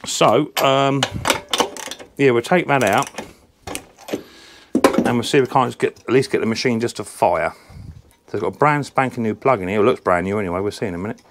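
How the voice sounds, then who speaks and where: A man with a croaky, slightly sore-throated voice, talking through a mower repair in his home workshop. He rambles in a friendly, unscripted way, full of ums and restarts, at an ordinary middle pitch. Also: a little fast, long pauses, noisy background. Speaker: lawnmower repair channel host. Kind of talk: casual workshop repair vlog.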